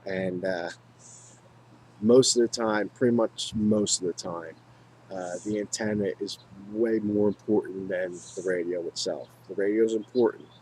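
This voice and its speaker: Low-pitched American man, halting and rambling. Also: a little mellow, a little hiss or hum, low-quality recording. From United States. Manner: halting, rambling, repeats himself. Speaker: hobbyist video maker. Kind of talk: casual unscripted talk to viewers.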